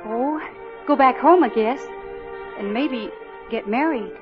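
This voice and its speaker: An actress playing a gentle, wistful young small-town woman courting at the fair in a 1950s musical radio drama. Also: on the high side, slow. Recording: dull vintage radio recording with music.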